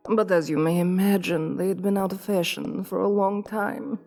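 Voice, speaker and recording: A woman playing an imperious, seductive vampire queen in an ASMR roleplay, commanding and teasing with condescending, deliberate delivery. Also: mid-range voice, steady tone, a little mellow, close to an everyday voice. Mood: haughty, composed. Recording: long reverb with music behind.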